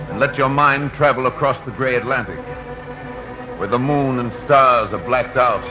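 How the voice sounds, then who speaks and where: A man's voice: medium pitch, natural voice. A man playing the voice of radio itself as a solemn, fervent orator in 1940s verse drama, declaiming poetically. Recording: muffled old 1940 radio broadcast, music behind.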